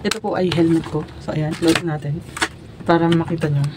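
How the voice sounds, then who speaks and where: A woman's voice: low voice, steady tone, brisk, background noise. A Filipino woman chatting informally to her viewers in a casual unboxing and product demo vlog. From Philippines. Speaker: vlogger.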